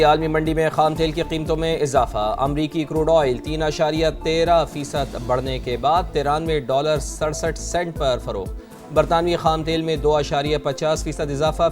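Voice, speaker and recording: A Pakistani-accented man reads the news in a scripted headline style. His voice is on the high side for a man, with music clearly running behind it. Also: fairly even intonation, moderate pace. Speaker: news anchor reading headlines. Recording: loud music bed under voice.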